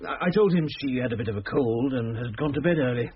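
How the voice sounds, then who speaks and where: A man's voice: mid-range voice, lively intonation, fast talker, lo-fi sound, slight background noise, natural voice. An actor playing an anxious, protective householder in a radio drama, a British man who stammers nervously and speaks deferentially. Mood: flustered.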